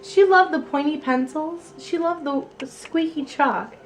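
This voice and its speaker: Unhurried, high-voiced woman reading a storybook. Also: faint music behind. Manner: expressive, deliberate phrasing. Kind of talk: reading a children's picture book aloud.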